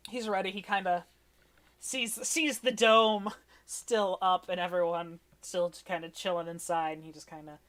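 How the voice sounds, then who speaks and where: A woman's voice: fairly low pitch, fairly even intonation, moderate pace. A woman running a tabletop game as game master, narrating casually and off the cuff with informal, wry phrasing.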